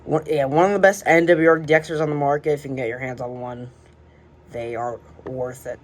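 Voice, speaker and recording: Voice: medium pitch, steady tone, brisk. A hobbyist giving a casual, unscripted tour of a collection, rambling and hesitant, often trailing off, recorded at home. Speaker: radio collector presenting a collection. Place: indoors at home, by shelves. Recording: noisy amateur home recording.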